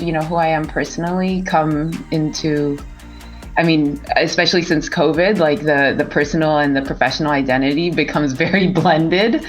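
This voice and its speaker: Low-voiced Californian woman in interview. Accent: Californian American. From San Francisco Bay Area, United States. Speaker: interview guest, startup co-founder and CEO. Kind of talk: conversational interview answers. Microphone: remote video call.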